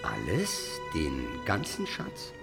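An actor playing a cunning, covetous court schemer in a fantasy radio drama, speaking in an insinuating, probing way. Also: male voice, very deep voice, wildly swooping pitch, slow, gravelly, music in the background, full character voice. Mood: greedy probing.

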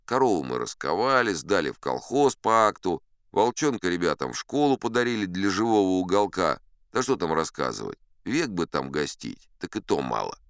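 A man's voice: low voice, very animated, moderate pace, a bit bright, smooth, dry recording, studio quality, heavily acted. An actor playing a boastful old sea captain, telling his tall tales in a folksy, self-assured, conversational way. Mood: musing. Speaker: audiobook performer narrating in character. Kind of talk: dramatic first-person storytelling.

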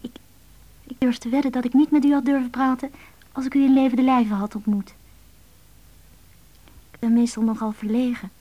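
Shy young-sounding woman, high voice, soft, stammering, hesitant, naturalistic radio play. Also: little pitch movement, normal speed, pauses often, muffled recording. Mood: shy, excited. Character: shy, hesitant woman phoning a stranger's answering machine. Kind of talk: naturalistic Dutch radio drama acting.

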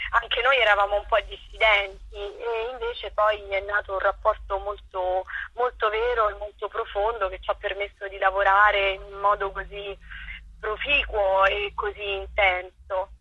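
A woman's voice: medium pitch, sharp tone, husky. A woman theatre director being interviewed over the telephone, explaining her work earnestly. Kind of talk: phone interview about own work. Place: phone call to a broadcast. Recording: tinny, echoey telephone line.

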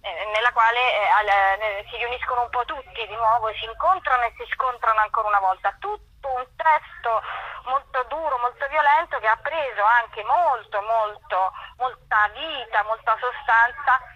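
A woman's voice: fairly high pitch, moderate pace, sharp tone, no room sound, poor audio. A woman being interviewed as a playwright, explaining spontaneously, searching for words and repeating them for emphasis.

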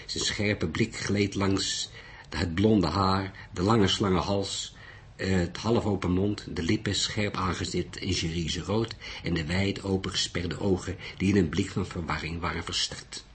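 A man narrating a literary detective story in a measured, deliberate way. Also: low-pitched, fairly even intonation. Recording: noisy recording.

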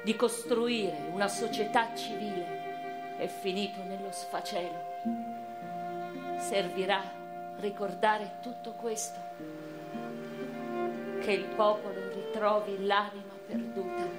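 A woman acting a proud, anguished eighteenth-century Neapolitan revolutionary facing execution, in a theatrical radio drama monologue with weighty, deliberate phrasing. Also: mid-range voice, close to an everyday voice. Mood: sorrowful, reflective. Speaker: stage and radio actor. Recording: violin music behind the voice.

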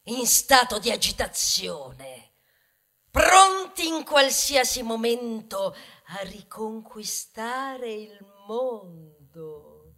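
A woman's voice: fairly high pitch, sing-song, drawn-out words, bright, forceful tone. A woman stage performer delivering a satirical ballad in declamatory, theatrical narration that is partly sung.

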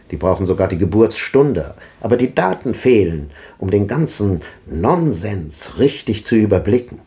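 An actor playing an old gentleman who rambles wryly about lost dates and his failing eyesight, in 1950s radio drama style. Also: lowish voice, lightly acted.

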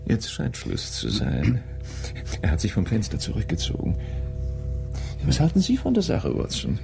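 An excitable, commanding male detective in a radio play. His pitch swings dramatically and his voice has a creaky edge. He slips often into hushed, theatrical murmuring. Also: moderate pace, music in the background, heavily acted. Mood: puzzled, musing. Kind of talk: German radio drama acting.

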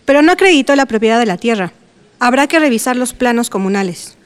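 A woman playing a composed professional lawyer who explains things formally and in measured terms in a radio drama. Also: on the high side, lively intonation, clear, resonant tone, lightly acted. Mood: serious.

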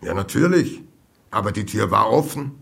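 An imperious old man in a radio play. His low voice creaks as he argues, indignant and haughty. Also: lively intonation, moderate pace, a bit bright, exaggerated voice. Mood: defensive, haughty. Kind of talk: radio drama acting.

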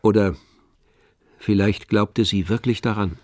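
A man narrating a literary radio drama in a measured storytelling manner. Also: lowish voice, steady tone, darkish tone, no room sound. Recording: dry studio, music behind.

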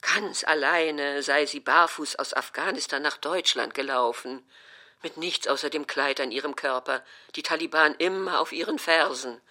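A woman's voice: low-pitched, normal speed, sharp tone. An actress playing a stubborn, easily offended older mother who complains dramatically in an audio drama. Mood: scornful. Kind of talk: acted German audio drama dialogue.

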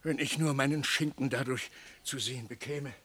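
An actor playing a simple, superstitious townsman in a radio comedy, with a raspy, gravelly voice. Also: medium pitch, steady tone, normal speed, very noisy, lightly acted. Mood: grumbling. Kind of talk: theatrical radio comedy acting.

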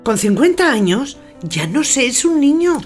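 An actress playing a high-voiced, bossy housemaid. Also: female voice, big pitch swings, measured pace, faint music behind. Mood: insistent. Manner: insistent, coaxing. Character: bossy, persistent, scheming housemaid of an old gentleman. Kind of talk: theatrical audio drama.

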